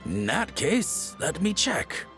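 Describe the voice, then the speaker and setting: A man's voice: fairly high pitch, big pitch swings, slow, fairly bright tone, soft background music, exaggerated voice. A man playing a polite, obliging shopkeeper in a video game, with a formal salesman's courtesy. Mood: apologetic.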